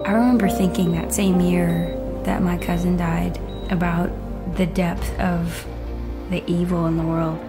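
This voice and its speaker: Female testimony voice, confessional and solemn, flat intonation, music underneath. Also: on the low side, unhurried. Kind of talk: personal testimony.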